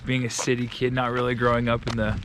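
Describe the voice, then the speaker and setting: A young man with a loud voice talks casually as he walks his dog outside, wandering off and restarting his sentences. His pitch sits in an ordinary middle range. Outdoor noise and passing cars are clearly heard behind him. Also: flat delivery, fast talker. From Minnesota. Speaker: real estate agent. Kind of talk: walking vlog talking to camera.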